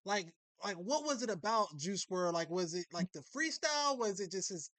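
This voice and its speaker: High-pitched, bright-voiced male interviewer. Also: very animated, no echo. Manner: halting, repeats words as he frames questions. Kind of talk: casual chat, slangy.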